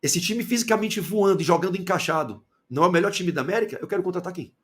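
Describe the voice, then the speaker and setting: A man from Salvador with a Bahian accent giving an opinionated football commentary monologue, firing rhetorical questions and swinging between loud and low. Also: fast talker. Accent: Bahian Portuguese. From Salvador, Bahia, Brazil.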